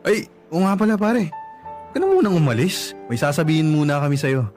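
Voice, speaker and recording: An actor playing a breezy, self-assured young fiancé in a radio drama, chummy and a little smug. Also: male voice, fairly high pitch, sing-song, a little fast, a little mellow, faint music behind.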